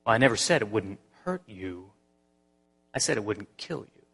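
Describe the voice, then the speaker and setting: Give me a patient male angel, voiced by a man reading aloud, who speaks softly and slowly. He is calm and firm. A long room reverb trails each phrase. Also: on the low side, vocal fry, slightly rough, exaggerated voice. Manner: calm, quiet, measured.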